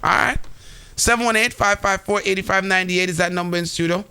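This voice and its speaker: High, very bright male radio host. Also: steady tone, brisk, smooth. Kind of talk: live call-in radio hosting.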